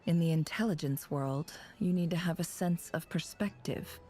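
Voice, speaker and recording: A woman playing a sly, guarded, cynical intelligence operative in a video game, measured, wry and knowing. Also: fairly low pitch, dark tone, soft background music, natural voice. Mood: wry, resigned. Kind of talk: dramatic video game voice acting.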